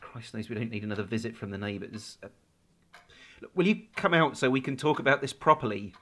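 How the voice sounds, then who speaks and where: A man's voice: medium pitch, fairly quick, bright, forceful tone. An actor playing an anguished, guilt-ridden boyfriend in a radio drama, hesitant and stammering as he defends himself. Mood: pleading. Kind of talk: naturalistic radio drama acting.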